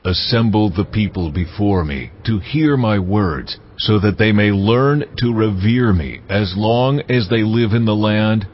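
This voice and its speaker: An actor playing God as a solemn, commanding divine voice with stately delivery in a scripture audio drama. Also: male voice, on the low side, measured pace, hardly any pauses, lo-fi sound.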